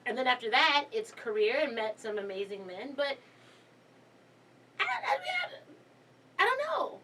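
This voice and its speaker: Animated woman in her forties, distant mic. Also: a little high, a little hiss or hum. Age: 40s. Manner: animated, emphatic, quick to laugh. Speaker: guest panelist. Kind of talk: casual panel chat.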